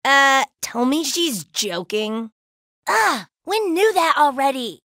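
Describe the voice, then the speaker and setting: A woman's voice: high-pitched, lively intonation, fairly bright tone, no echo, studio quality, heavily acted. A woman voicing a brash, playful young female alien fighter in a video game, with a cocky, slangy, laid-back manner. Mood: grumbling, sarcastic. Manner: cocky, slangy, drawn-out exclamations. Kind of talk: video game character voice lines.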